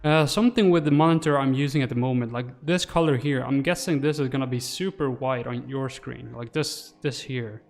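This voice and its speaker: A man's voice: mid-range voice, a little fast, vocal fry, few pauses, soft background music. A man in his twenties muttering his thoughts aloud as he casually hosts a livestream while working, close on a desk microphone. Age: 20s. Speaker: livestream host. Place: small room indoors. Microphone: desk microphone close to the mouth.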